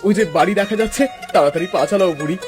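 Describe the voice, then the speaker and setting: Man acting an emotional high-voiced young village husband. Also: very fast, close to an everyday voice. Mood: anxious. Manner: stammering, pleading. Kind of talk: melodramatic Bengali cartoon voice acting. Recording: music behind the voice.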